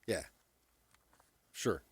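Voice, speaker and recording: A man co-hosting a sports talk show in casual banter, blunt and quick to disagree. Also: dry recording. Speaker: sports radio co-host.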